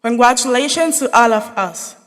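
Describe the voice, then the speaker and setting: A woman's voice: fairly high pitch, lively intonation, normal speed, a bit bright, slight background noise. A young woman from Haiti, giving an earnest, spirited graduation speech as a student speaker in a large hall. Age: young adult.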